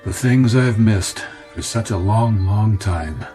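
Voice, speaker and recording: An American actor playing a middle-aged, weary and wistful executive in a radio drama, talking in a hesitant, musing way. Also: male voice, lowish voice, slow pace, mellow, soft background music. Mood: awkward, relieved. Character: weary, wistful ad executive fleeing city pressures.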